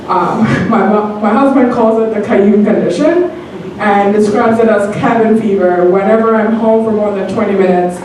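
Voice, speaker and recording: A woman's voice: mid-range voice, a little mellow, slight background noise. A woman from Bangladesh telling a personal story on stage, earnest and hesitant with frequent ums. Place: comedy theater stage. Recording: echoey theater from far off.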